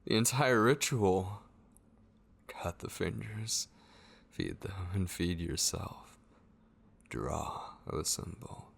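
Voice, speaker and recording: A man playing a frantic, forgetful vampire in a horror ASMR roleplay, muttering hurried questions to himself in a husky voice. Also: sits low, animated, a little hiss or hum, full character voice. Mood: straining to remember.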